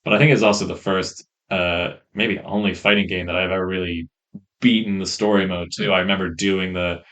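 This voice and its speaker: A man co-hosting a podcast, chatting casually in a rambling, hesitant way, on a rough-sounding faulty microphone. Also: low-pitched, fairly even intonation, fairly quick, a bit bright. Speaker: podcast co-host. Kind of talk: casual chat.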